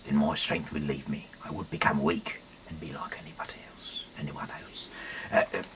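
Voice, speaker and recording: A man reading scripture aloud in a halting, rambling way, often correcting himself and dropping in casual asides. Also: extremely low pitch, level tone, whispered. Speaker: amateur reader of a Bible audio series.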